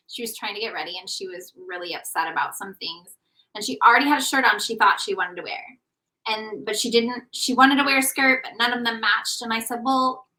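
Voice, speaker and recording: A woman in her thirties hosting a podcast as an intimacy coach, telling a story in a lively, casual way. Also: mid-range voice, far from the mic, low-quality recording.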